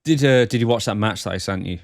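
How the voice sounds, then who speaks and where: A man's voice: on the low side, quick pace, vocal fry, no echo, clean studio sound. A man chatting casually as the co-host of a podcast. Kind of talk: casual chat.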